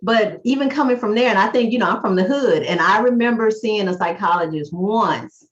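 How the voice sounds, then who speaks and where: A woman's voice: medium pitch, fairly quick, muffled recording. A middle-aged woman hosting an interview, chatty and enthusiastic, with rambling restarts. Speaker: podcast host and therapist. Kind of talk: interview hosting, casual chat.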